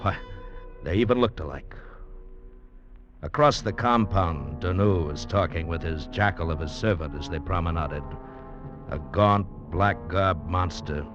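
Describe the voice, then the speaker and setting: Very deep-voiced, brooding radio narrator. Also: male voice, a little slow, music in the background. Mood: grave, brooding. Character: grave male convict barber narrating his own tale. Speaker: first-person narrator. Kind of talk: dramatic 1940s-50s radio narration. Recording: dull vintage radio recording.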